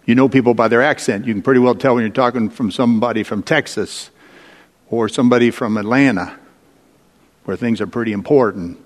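A male preacher delivers a sermon in a large hall with long reverb. His pitch sits in the middle range for a man. He tells scripture as a story, deliberately, pausing for effect and putting questions to his listeners. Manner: deliberate storytelling, dramatic pauses and rhetorical questions. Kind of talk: sermon retelling and explaining Bible stories.

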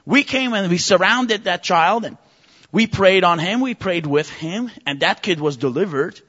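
A man's voice: high-pitched, animated, measured pace, sharp tone, breathy edge. A man from Iran, a native Persian speaker, preaching an impassioned sermon with vivid stories. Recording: muffled recording with long reverb.

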